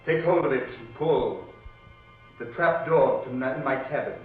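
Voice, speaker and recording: A man's voice: medium pitch, moderate pace, phone-quality sound, natural voice. An actor playing a grave, bitter ghostly sea captain guarding his treasure, solemn and commanding in old-time horror radio style. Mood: eerie, commanding. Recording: band-limited old radio, echoey, distant, music underneath.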